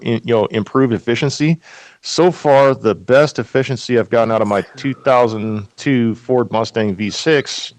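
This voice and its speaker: A man's voice: medium pitch, no room sound. A man in a laid-back group chat who swears freely and laughs often.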